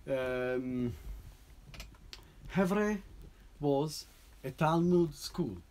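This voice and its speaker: Man explaining his piece haltingly. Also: a little high, darkish tone, a little hiss or hum. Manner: halting, many restarts and tag "no?". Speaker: composer presenting a piece. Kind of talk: informal talk to an audience.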